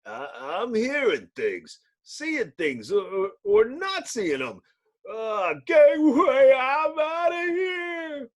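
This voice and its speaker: A man's voice: very high-pitched, sing-song, extremely slow, voice pushed up, heavily acted. A man voicing an easily spooked working-man cab driver in a comic-book reading.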